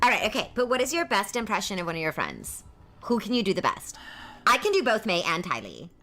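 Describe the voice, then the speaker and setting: A woman's voice: mid-range voice, big pitch swings, brisk, sharp tone. A woman playing a haughty, teasing young princess who needles and mocks in improvised comedic banter. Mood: smug, mocking. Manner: condescending, mocking.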